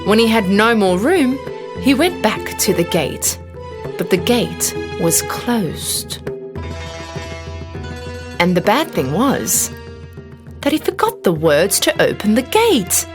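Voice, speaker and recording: A woman reading a scripted children's fairy tale as an expressive storybook narrator. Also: mid-range voice, slow pace, pauses often, over music.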